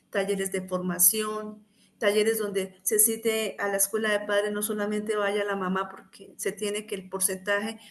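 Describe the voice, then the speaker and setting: A woman researcher explains her points earnestly in a formal discussion, at an ordinary pitch. A faint noise sits under her voice. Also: fairly bright tone.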